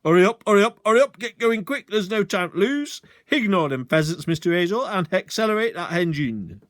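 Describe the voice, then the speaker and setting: A man's voice: sits high, expressive, brisk, no echo, studio quality, pitched above the performer's own voice. A man voicing a sly, commanding village policeman who speaks in a bluff, hearty manner.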